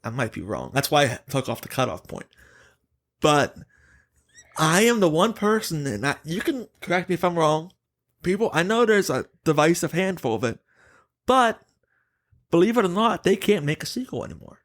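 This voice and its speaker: Higher-voiced male podcast host, opinionated. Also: big pitch swings, moderate pace, pauses often, fairly bright tone. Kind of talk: casual gaming podcast chat.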